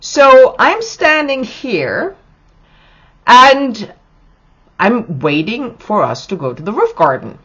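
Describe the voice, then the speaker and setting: A hoarse middle-aged woman hosting a podcast, talking in a chatty, rambling way with restarts. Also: fairly high pitch, very animated, slow pace, pauses often, pushed and bright. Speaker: podcast host. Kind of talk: casual podcast monologue. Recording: dull lo-fi recording.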